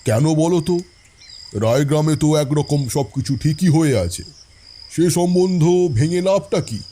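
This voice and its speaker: A man's voice: fairly high pitch, expressive, unhurried, mellow, a little hiss or hum. An actor playing a skeptical middle-aged father in an audio drama, blunt and questioning.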